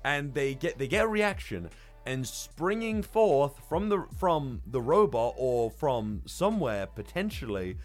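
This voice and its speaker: A man chats as a podcast co-host in a mid-pitched voice, with faint music underneath. He recaps things in a loose, rambling, hesitant way and swears freely. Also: sing-song, a little slow. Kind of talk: casual manga recap discussion.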